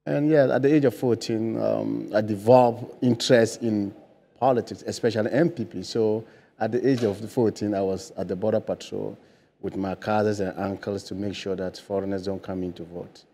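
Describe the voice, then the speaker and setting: A man's voice: lowish voice, steady tone, normal speed, gravelly, mellow. A man in his forties with a Ghanaian accent, a political hopeful answering interview questions earnestly, with many fillers and restarts, in a TV studio on a lapel mic. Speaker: political candidate guest. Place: bright TV studio.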